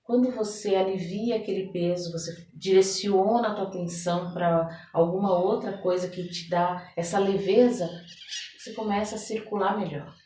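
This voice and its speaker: A woman with a São Paulo accent talks in a roomy space, a little away from the microphone. Her voice sits at an average pitch. She speaks as an interview guest, reflective and conversational, repeating words for emphasis. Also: fairly even intonation, moderate pace, warm tone. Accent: Brazilian, São Paulo. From São Paulo, Brazil. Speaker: podcast guest. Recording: roomy echo, distant microphone.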